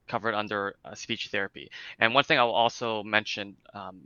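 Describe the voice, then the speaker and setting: A man's voice: lowish voice, sharp tone, clean tone, dry recording, muffled recording. A young man with a light Cantonese accent, explaining things in a casual interview with lots of fillers and restarts. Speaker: interview guest, speech clinic founder.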